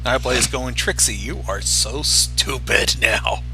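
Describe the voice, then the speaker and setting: A man's voice: mid-range voice, a little slow, sharp tone, faint music behind, low-quality recording. A man giving blunt, sardonic reaction commentary in a casual way as a co-host. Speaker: co-host commenting on a fan fiction reading.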